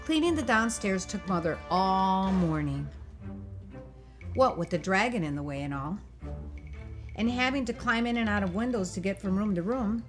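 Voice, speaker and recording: A woman reading a picture book aloud for children, in an expressive, animated and emphatic storytelling delivery. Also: medium pitch, moderate pace. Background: music.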